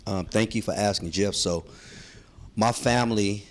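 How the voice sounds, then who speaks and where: A man from San Francisco telling his life story as an interview guest, in a heartfelt, slangy way with many pauses and ums. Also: on the low side. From San Francisco, USA. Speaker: guest, community activist. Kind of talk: relaxed storytelling conversation.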